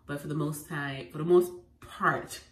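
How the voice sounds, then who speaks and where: A woman with a head cold, sounding congested, sharing home remedy tips in an animated, emphatic vlog at home. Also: sits low, moderate pace. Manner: animated and emphatic, repeating phrases. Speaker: lifestyle vlogger. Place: at home indoors. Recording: echoey room, faint noise.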